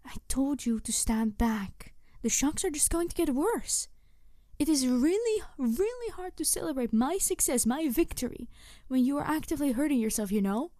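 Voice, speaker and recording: A woman playing a snarky, bitter supervillain in an ASMR audio roleplay, taunting her captive in a theatrical, deliberate way with breathy whispered touches. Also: high-pitched, animated, dark tone, no echo, clean studio sound. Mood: exasperated, agitated.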